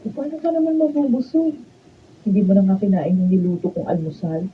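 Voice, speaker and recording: Actress as pleading jealous Filipino wife, tinny sound. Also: female voice, medium pitch, brisk, lightly acted. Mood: coaxing. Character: jealous, pleading wife begging for her husband's love. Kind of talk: Filipino radio drama acting. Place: radio drama recording session. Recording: tinny, noisy band-limited raw studio video.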